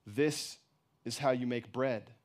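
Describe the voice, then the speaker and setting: A man voicing a soft, patient, sorrowful mother. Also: mid-range voice, natural voice. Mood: patient, mournful. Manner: tender, quiet, calm, unhurried.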